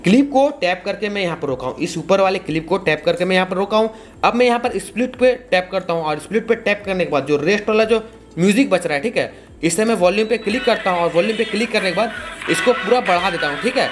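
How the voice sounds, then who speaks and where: High-pitched young man, tutorial host. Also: a little hiss or hum. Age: 20s. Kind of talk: casual video-editing tutorial.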